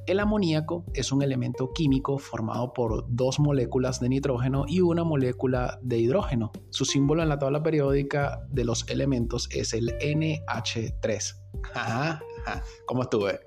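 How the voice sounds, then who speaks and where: A man hosting a podcast, telling a story in an informal, conversational way with sardonic asides. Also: mid-range voice. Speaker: podcast host. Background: music.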